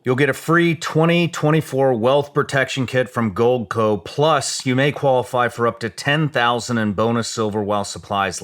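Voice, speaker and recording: A man, the show's host, reading sponsor ads in a brisk promotional way. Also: mid-range voice, fairly bright tone. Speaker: podcast host. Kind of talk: read ad spot.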